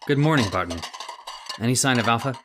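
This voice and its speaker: Male actor as trusting researcher doctor, shaken and hesitant, animated intonation, vintage radio melodrama. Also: medium pitch, fairly quick, noisy background, lightly acted. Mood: subdued, attentive. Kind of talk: old-time radio horror acting.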